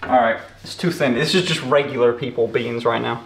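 A man's voice: medium pitch, steady tone, a little fast, vocal fry, a bit bright. A British man streaming casually from his home kitchen while cooking, joking and swearing freely. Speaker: streamer host. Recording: echoey room with background noise.

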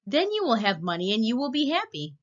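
A woman's voice: on the high side, huge pitch swings, brisk, highs cut off, voice pushed up. A woman voicing a loving, generous tree in a children's storybook, coaxing and sing-song. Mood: apologetic, helpful. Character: loving, generous female tree, gentle and eager to give. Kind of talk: children's storybook read-aloud.

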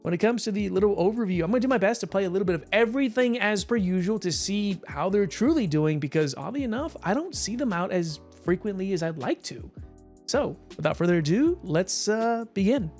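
A man giving casual, rambling commentary over gameplay, his voice hoarse and tired after a long stream. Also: sits high, expressive, a little fast, darkish tone, soft background music. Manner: chatty, rambling, with frequent fillers and short laughs. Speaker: gaming YouTuber.

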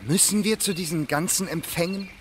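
An actor playing a curious, sceptical geologist, casual and questioning, in a science fiction radio drama. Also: male voice, high voice, fairly quick, slight background noise, lightly acted. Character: curious, sceptical male geologist, colleague on the mission. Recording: loud background ambience.

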